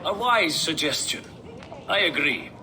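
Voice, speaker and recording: An actor playing a wry, courteous counsellor of the Viking age in a game cutscene. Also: male voice, a little high, lively intonation, measured pace, background noise. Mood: approving. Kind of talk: dramatic game cutscene acting.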